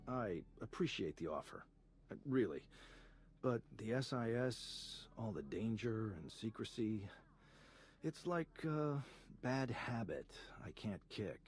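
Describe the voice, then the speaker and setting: An actor playing a flirtatious, easygoing male spy in a video game, with a husky voice and a playful, casual manner. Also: medium pitch, darkish tone. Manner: smooth-talking, playful, casual.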